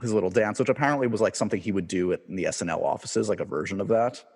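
A man hosting an interview podcast, asking questions in a relaxed, hedging way full of "like" and "uh". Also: lowish voice, rapid-fire, slightly rough, in a large hall. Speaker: podcast host. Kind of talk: casual interview chat.

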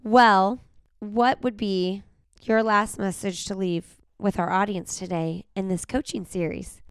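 Raspy-voiced woman podcast host. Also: mid-range voice, fairly even intonation, measured pace, no room sound. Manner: chatty, laughs often, many fillers. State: recovering from a cold. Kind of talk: casual interview chat.

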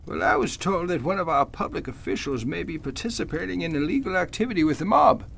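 Man acting high-voiced, gravelly older judge. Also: animated, fast, fairly bright tone, a little hiss or hum. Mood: earnest, firm. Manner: earnest, old-fashioned formal speech. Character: genial but suspicious veteran male judge, gentlemanly. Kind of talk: old-time radio drama acting.

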